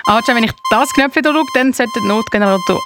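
A woman's voice: a little high, quick pace, music in the background. A woman with a Swiss German accent co-hosting an informal youth radio show. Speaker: radio co-host. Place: radio studio.

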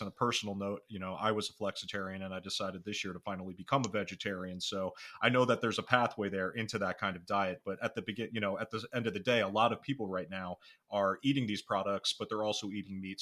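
A man's voice: on the low side, bright, forceful tone. A man hosting a podcast interview, upbeat and sprinkling in "you know" fillers. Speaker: podcast host.

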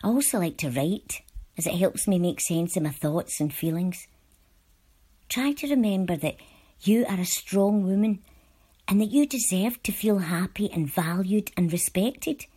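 A woman reading personal letters aloud from a script in a measured, earnest way. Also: mid-range voice, expressive, dark tone, slightly rough. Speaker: volunteer reader.

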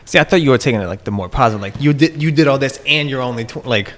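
A man's voice: mid-range voice, animated, racing, fairly bright tone, big-room sound. A man hosting a casual podcast chat, mostly giving short replies and checking that he understood. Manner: brief backchannels, checks his understanding.

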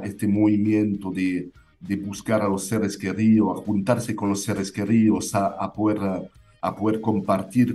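Low, mellow man with a foreign accent. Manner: hesitant, many fillers and restarts. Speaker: winemaker guest. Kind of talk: interview answers.

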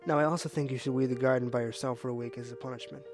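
Man as a calm fatherly dad, mid-pitched voice, soft music under it. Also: very fast, close to an everyday voice. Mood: firm. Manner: calm, gently teasing, fatherly. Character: gentle, teasing father. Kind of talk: amateur family audio drama.

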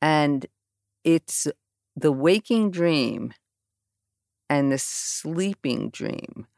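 A woman's voice: sits low, lively intonation, drawn-out words, gravelly, darkish tone, dry recording, clean studio sound. A woman in casual conversation as a podcast guest, talking in a reflective way and trailing off mid-thought.

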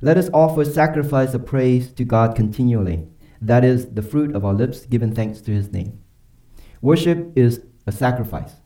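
Male pastor, deeply warm dark timbre, earnest and emphatic preaching, slows for weight. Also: rough recording. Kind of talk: sermon and closing prayer.

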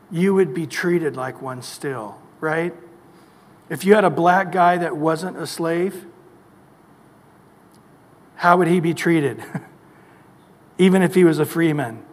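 Male pastor, high voice, emphatic sermon delivery with rhetorical questions, long church reverb.

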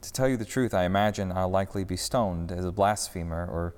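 A man voicing Jesus, a calm, patient male teacher who speaks in measured phrases, in a storybook reading. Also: low voice, big-room sound, lightly acted. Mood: grave.

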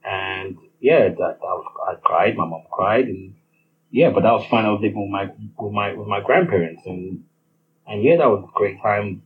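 A man's voice: on the low side, moderate pace. A young man with a Nigerian accent, telling a personal story in a hesitant monologue with many restarts. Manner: hesitant, lots of restarts and fillers. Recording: slightly muffled with faint ambient noise.

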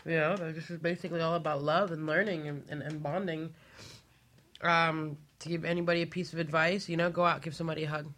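A panelist speaking casually in an informal, slightly halting way. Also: medium pitch, level tone, fairly bright tone. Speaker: talk show panelist. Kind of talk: casual chat.

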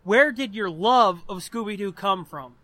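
High-pitched, bright-voiced young American man. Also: measured pace. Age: 20s. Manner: profane, informal, rambling. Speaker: podcast host. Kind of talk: casual chat, telling personal anecdotes.

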